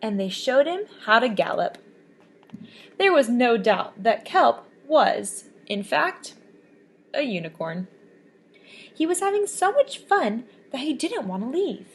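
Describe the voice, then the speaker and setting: A woman reading a children's picture book aloud with expressive, animated storytelling. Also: fairly high pitch, slow pace, slightly rough. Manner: expressive, lively storybook voices.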